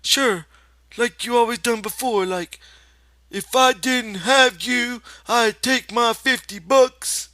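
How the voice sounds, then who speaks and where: A man voicing a simple-minded giant farmhand who pleads in a high, strained wail with a rural drawl. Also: takes it slowly, pushed and bright, no room sound, exaggerated voice. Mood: pleading.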